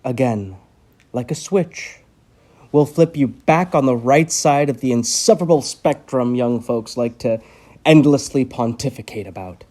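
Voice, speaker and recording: A man acting in a horror audio play as a controlling, condescending doctor with an imperious, deliberate delivery. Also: mid-range voice, warm tone, lightly acted. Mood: coldly persuasive. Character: menacing, controlling male conversion doctor, cold and condescending.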